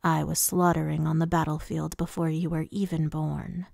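A woman's voice: fairly low pitch, little pitch movement, a little slow, gravelly, mellow, dry recording, studio quality. A woman voicing a taunting, casually cruel immortal male lord in an audiobook fantasy story, with a purring menace. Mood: taunting, menacing. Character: menacing, smooth, mocking immortal male High Lord villain.

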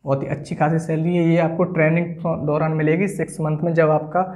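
Young man teaching, mellow higher voice. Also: flat delivery, fairly quick. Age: 20s. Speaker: exam-coaching teacher. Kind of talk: explanatory whiteboard lesson. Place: small indoor room at a whiteboard.

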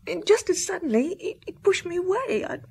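An actress playing a grieving, bewildered young French wife in a radio drama, halting, breathy and tearful. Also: female voice, very high-pitched, big pitch swings, a little mellow, exaggerated voice. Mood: sad, halting.

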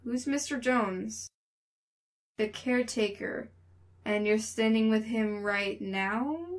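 Woman playing a young female skeptic, slightly high voice, exasperated, sarcastic, sharp tongue, story dialogue. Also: slow pace. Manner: dry, sarcastic.

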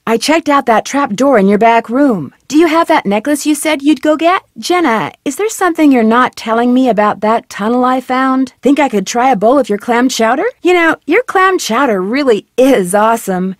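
A woman's voice: on the high side, dry recording, clean studio sound, natural voice. An actress voicing a young, curious amateur detective in a video game, friendly and earnest as she questions people. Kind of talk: game voice lines recorded in a studio.

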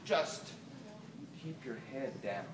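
A man's voice: mid-range voice, lively intonation, slow, voice pushed down. A man reading a storybook, voicing a brusque, impatient workman who gives curt orders. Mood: miffed. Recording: roomy, distant, noisy phone-camera recording.

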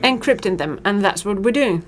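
A woman interpreting a startup pitch in real time, talking fast and steadily with occasional fillers. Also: lively intonation. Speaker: simultaneous interpreter. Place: conference auditorium. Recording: auditorium feed with crowd and noise.

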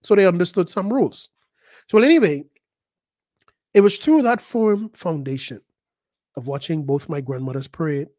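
A man, a pastor preaching a sermon, emphatic and repeating words, his pitch jumping high at key points. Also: normal speed, long pauses, a little mellow, no room sound, clean studio sound. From the Bahamas. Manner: repeats words and acts out quoted voices.

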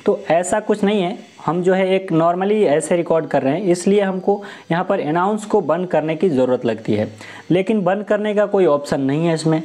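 A man's voice: high voice, moderate pace, a little mellow. A young man giving a step-by-step tutorial, explaining and rephrasing his points as he goes. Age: young adult. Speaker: tech tutorial presenter. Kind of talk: step-by-step tutorial talk to camera. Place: small room indoors. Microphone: lapel mic.

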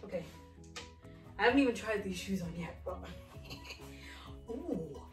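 A woman's voice: medium pitch, expressive, takes it slowly, soft background music. A young woman, not a native English speaker, chatting animatedly in a home try-on haul vlog and calling her viewers guys. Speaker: fashion vlogger. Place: home living room, indoors.

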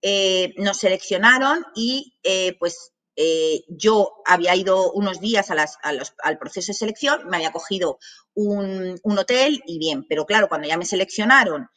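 Madrid woman in her 30s teaching online, crisp bright voice, lots of "vale" asides. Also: mid-range voice, expressive, normal speed. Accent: Madrid Spanish. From Madrid, Spain. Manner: chatty, many fillers and asides. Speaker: language academy director. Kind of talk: online masterclass talk. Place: small office with banners.

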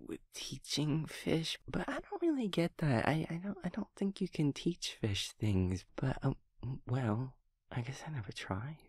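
Man playing a creaky-voiced, stammering, naive daemon boy. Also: fairly high pitch, sing-song, unhurried, no echo, clean studio sound, heavily acted. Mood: flustered, earnest. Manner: hesitant, stammering, rambling, childlike, softly giggling. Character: gentle, naive, scatterbrained young male empathy daemon friend. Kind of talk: audio roleplay to the listener.

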